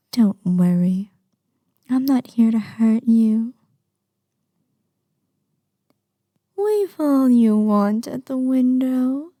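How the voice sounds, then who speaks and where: A woman acting an obsessive, lovesick young kidnapper in an intimate ASMR roleplay, sweetly menacing with breathy murmurs and sighs. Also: high voice, warm tone, no echo, studio quality, heavily acted. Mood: calm menace.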